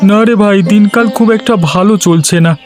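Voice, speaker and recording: Village carpenter for a cartoon, male actor: high-ish voice, conversational grumbling, over music. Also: a little fast, natural voice. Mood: weary, grumbling. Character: village woodcutter and carpenter, weary but self-assured. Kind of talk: cartoon story voice acting. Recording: music behind the voice.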